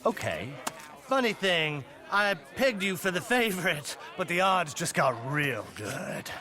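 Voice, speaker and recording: A man's voice: sits high, very animated, a little slow, a crowd in the background. A man playing a slick, mocking bookmaker in a video game cutscene, showy and sly with a drawl. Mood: sly, amused. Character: slick, mocking male bookmaker, jovial hustler.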